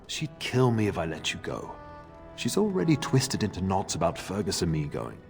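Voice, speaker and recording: A middle-aged noble father from a fantasy video game. His voice sits fairly low and carries measured, affectionate authority. It rings through a reverberant hall with music underneath. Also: wildly swooping pitch, vocal fry, heavily acted. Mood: firm, fatherly. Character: fatherly nobleman lord of a castle, firm and affectionate.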